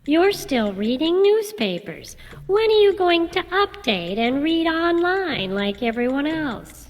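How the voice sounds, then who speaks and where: A woman playing a nagging, vain but soft-hearted wife in a radio comedy, insistent and fussy. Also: extremely high pitch, big pitch swings, takes it slowly, full character voice. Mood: peeved. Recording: reverberant hall, faint background noise.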